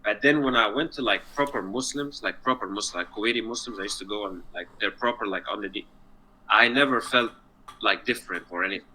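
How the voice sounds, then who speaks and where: A man's voice: on the low side, fairly quick, sharp tone, slight background noise, rough recording. A man from Egypt in a casual online chat, earnest and rambling, sometimes searching for words. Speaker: chat partner.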